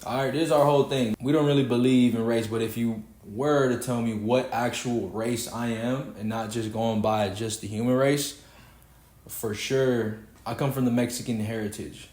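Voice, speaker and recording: A man's voice: medium pitch, steady tone, moderate pace, a little mellow. Preachy young Texan man in an echoey room. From Houston, Texas. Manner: preachy, emphatic, swearing freely. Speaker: podcast host. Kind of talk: casual podcast rant.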